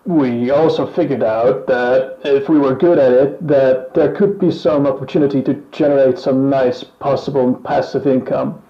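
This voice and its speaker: A man's voice: mid-range voice, steady tone, moderate pace, few pauses, echoey room, dull audio, close to an everyday voice. A man playing a band member in a scripted mock interview, speaking in a casual, offhand way.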